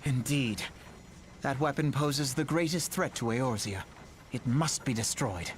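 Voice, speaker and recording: An actor playing an earnest, idealistic young scholar-leader in a fantasy game, speaking in formal, archaic phrasing. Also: male voice, mid-range voice, measured pace, a little hiss or hum, lightly acted. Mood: grave, measured. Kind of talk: fantasy game dialogue acting.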